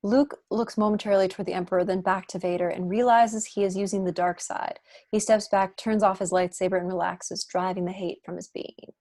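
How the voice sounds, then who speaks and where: A woman's voice: medium pitch, steady tone, a little fast, a little mellow. A young adult woman reading scripted stage directions as narrator at an online table read. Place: room at home, video call.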